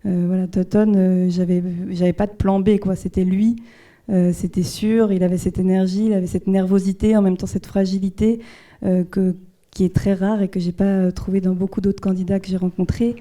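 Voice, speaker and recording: A woman from the Jura, a film director answering audience questions in a hesitant, thoughtful way with frequent pauses. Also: mid-range voice, flat delivery, mellow. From Jura, France. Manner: hesitant, many fillers and restarts, thoughtful.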